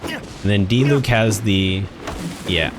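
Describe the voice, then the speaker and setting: Slow-paced man streaming a game. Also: fairly low pitch, noisy background. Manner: halting, repeats words while thinking. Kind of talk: casual gaming commentary, thinking aloud. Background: game music.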